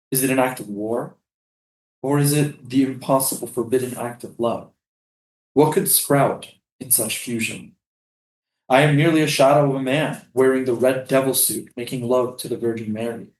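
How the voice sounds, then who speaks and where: A man's voice: medium pitch, darkish tone, natural voice. A man playing a haunted, weary prisoner, solemn and brooding, in a staged reading of a drama. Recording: distant, echoey live stage reading.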